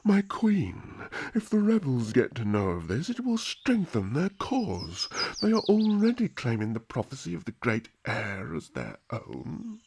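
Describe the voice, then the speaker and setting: A man's voice: medium pitch, huge pitch swings, unhurried, hardly any pauses, a little mellow, slight background noise. A man playing a stern, old-fashioned, exasperated elf king with a regal, blustering manner in a fantasy audio roleplay. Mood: shocked.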